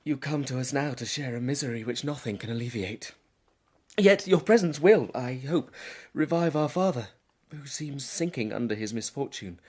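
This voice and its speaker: A man's voice: mid-range voice, expressive, normal speed, darkish tone, breathy edge. A man playing a sorrowful, earnest younger brother with formal phrasing in a dramatised audiobook.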